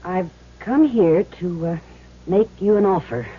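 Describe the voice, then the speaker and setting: A woman's voice: lowish voice, measured pace, husky. An actress playing a tough but good-hearted young woman gambler in an earnest, emphatic, slangy way for a 1970s radio drama. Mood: guarded, businesslike. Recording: muffled, hissy old radio recording with hum.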